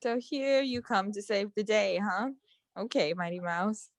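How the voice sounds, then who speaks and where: A woman's voice: medium pitch, a little slow, no echo, studio quality, lightly acted. A woman acting a blunt, teasing young emergency medical technician in a screenplay table read.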